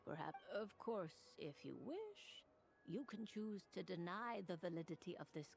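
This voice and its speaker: A woman's voice: lowish voice, big pitch swings, moderate pace, gravelly. A woman voicing a poised, mysterious tarot reader in a video game, with a formal, theatrical delivery. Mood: intrigued, mysterious.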